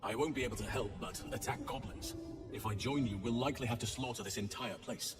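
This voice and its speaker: A man's voice: medium pitch, close to an everyday voice. Man acting a grave druid leader in echoing hall. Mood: commanding, solemn. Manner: measured, formal, solemn. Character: grave male druid leader, protective, resolute shapeshifter. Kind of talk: video game dialogue. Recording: echoey hall, distant, under loud music.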